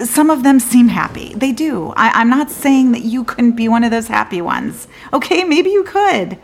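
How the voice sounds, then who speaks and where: An actress playing a disillusioned woman lawyer in a theatrical monologue, confiding in a halting, wry and bitter way with abrupt swings. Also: fairly high pitch, very animated, gravelly, heavily acted. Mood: rueful.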